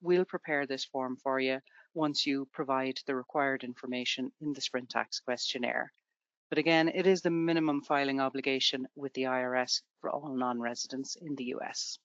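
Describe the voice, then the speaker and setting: A woman's voice: rumbling low, steady tone, normal speed, no room sound, lo-fi sound. A woman from Ireland with an Irish accent, presenting a tax webinar to students in a conversational way with everyday examples.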